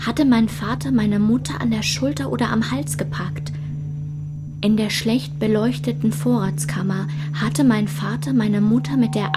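An actress playing a woman who recounts a traumatic childhood memory in a measured, restrained literary radio play narration, at times dropping to a whisper. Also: a little high, close to an everyday voice. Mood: tense, insistent.

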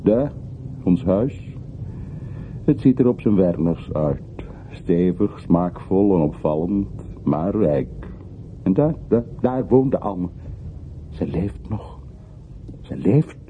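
A man's voice: low-pitched, lively intonation, slow, pauses often. A man acting in a radio drama as a wistful, commanding man looking back on his hometown, reflective and formal. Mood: nostalgic. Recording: muffled phone-like old radio recording, faint noise.

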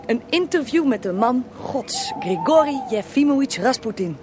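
A young female reporter, eager and awed, with a fairly high, very lively voice. She is inquisitive and animated, in radio play style. There is clear noise on the recording. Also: normal speed. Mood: awed, excited. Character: eager, awed young female journalist interviewing a historical ghost. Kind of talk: radio drama acting.

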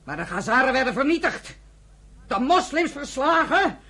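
A fervent, embittered pioneer in a radio drama, exhorting listeners. The voice is high, forceful and husky, declaiming in rhetorical questions. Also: female voice, big pitch swings, moderate pace, exaggerated voice. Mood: bitter, impassioned. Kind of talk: impassioned radio play oratory.

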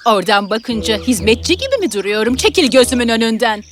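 A woman's voice: on the high side, big pitch swings. A woman playing a vain, rude young village girl in a children's fairy tale, speaking in a haughty, dismissive way. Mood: contemptuous. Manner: haughty, dismissive, drawn-out delivery.

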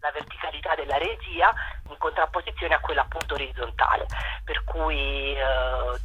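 A woman's voice: low-pitched, sharp tone, slightly rough. A woman giving an informal radio interview over a tinny telephone line, explaining things in a hesitant way. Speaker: theatre collective member, radio guest.

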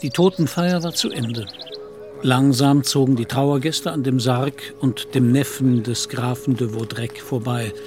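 A man's voice: mid-range voice, fairly even intonation, unhurried, darkish tone, faint music behind. A man narrating a literary radio play.

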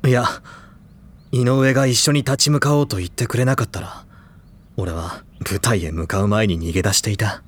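Low-voiced, serious high school boy. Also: steady tone, breathy edge. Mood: grave, hesitant. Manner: measured, formal, restrained. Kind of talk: Japanese audio drama dialogue.

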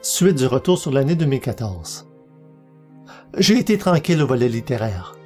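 A man's voice: medium pitch, very animated, moderate pace, darkish tone, faint music behind. A man, hosting a podcast and reading scripted narration. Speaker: podcast host and publisher.

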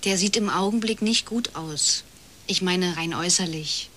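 An actress playing a young, scheming woman who pries and flatters in a casual, teasing way, in a radio crime drama. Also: lowish voice, moderate pace. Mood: breezy, curious. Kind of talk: naturalistic German radio crime drama acting.

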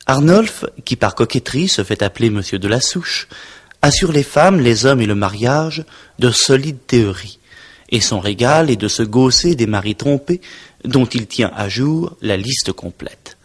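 A man reading a scripted introduction to a stage play in a measured, clear manner. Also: mid-range voice, lively intonation. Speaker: narrator. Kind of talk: scripted narration.

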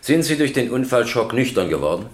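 An actor playing a stern, sceptical presiding judge in a radio play, formal and probing with dry irony. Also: male voice, mid-range voice, very animated, a little fast, lightly acted.

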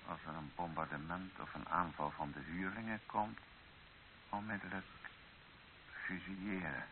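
Man as a deep-voiced, grave old-radio bishop. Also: close to an everyday voice. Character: grave, resigned male missionary bishop held hostage. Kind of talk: old radio drama acting. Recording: hissy, narrow-band old radio drama recording.

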